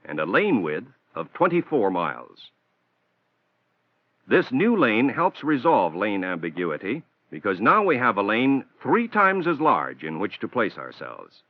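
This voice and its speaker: An American man reading a scripted instructional narration for a training film in a measured, formal, explanatory manner, on a 1960s film soundtrack. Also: mid-range voice, dry recording. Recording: dull, band-limited 1960s film soundtrack.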